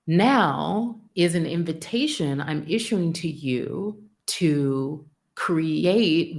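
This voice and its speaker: A middle-aged American woman giving a live spiritual sermon, frank and profane, acting out dialogue in an animated way. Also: sits low, gravelly. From United States. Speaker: spiritual teacher.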